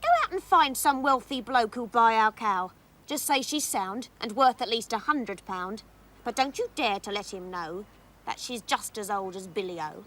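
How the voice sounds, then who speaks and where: Storybook mother, acted by a woman: older, feisty, scolding, bright and fairly high voice. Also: normal speed, lightly acted, low-quality recording. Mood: bossy. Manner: scolding, exclamatory. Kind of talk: rhyming fairy-tale storytelling.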